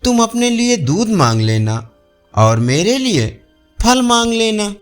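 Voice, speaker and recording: A man's voice: high-pitched, very animated, takes it slowly, faint music behind, voice pushed up, heavily acted. A man voicing a scheming male monkey in a storybook tale, coaxing and persuasive.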